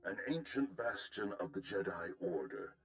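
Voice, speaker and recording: A man's voice: deep voice, sing-song, normal speed, vocal fry, soft background music, full character voice. An actor playing a cultured, formal, mature male general in a video game, speaking with courteous, expansive emphasis. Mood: grave.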